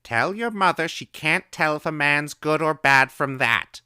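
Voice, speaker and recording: Man doing a shrill eager old-maid recluse. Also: measured pace, clean tone, no room sound, studio quality, full character voice. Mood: cryptic. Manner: loud, excitable, conspiratorial. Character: eccentric middle-aged woman recluse, eager and cryptic.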